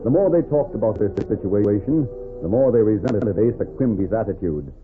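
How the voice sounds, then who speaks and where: A man's voice: fairly low pitch, expressive, normal speed, close to an everyday voice. A man narrating a western adventure serial in scripted 1940s radio style, on a thin old recording. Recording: tinny, band-limited 1940s radio recording. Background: music.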